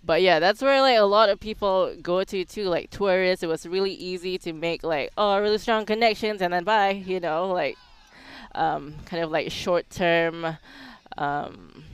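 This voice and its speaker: A woman's voice: lowish voice, smooth. A woman from Singapore talking as an interview guest, candid and introspective in casual conversation, often dropping in "like" and "you know".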